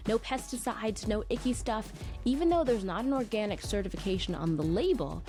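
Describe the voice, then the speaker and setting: A woman's voice: medium pitch, lively intonation, a little fast, dark tone, soft background music. A woman reading a sponsor message in a bubbly, chatty way. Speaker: ad reader. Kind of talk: sponsor read.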